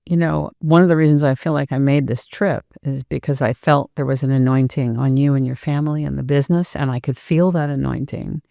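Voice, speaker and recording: Interviewer with a gravelly mellow voice, long admiring sentences, dry sound. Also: medium pitch, steady tone, fairly quick, hardly any pauses, no echo. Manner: warm, admiring, speaks in long flowing sentences. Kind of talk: conversational interview.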